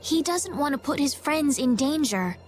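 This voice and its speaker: A woman voicing a gentle, earnest young princess in a video game, polite and caring. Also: high voice, animated, moderate pace, faint music behind. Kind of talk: English video game voice acting.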